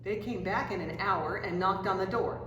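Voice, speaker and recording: A woman reading a children's storybook aloud in an expressive, dramatic way, drawing out key phrases. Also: mid-range voice, moderate pace, lo-fi sound. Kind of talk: animated read-aloud storytelling. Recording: roomy echoing hall, distant mic, faint noise.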